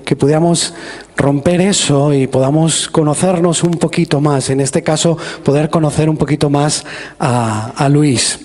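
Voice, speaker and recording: A man hosting a church interview who asks gentle, encouraging questions and sums up what his guest has said. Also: a little high.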